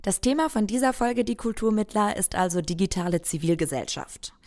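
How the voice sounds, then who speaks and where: A woman host reading a scripted podcast introduction in a clear, friendly voice. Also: mid-range voice, animated, brisk, darkish tone.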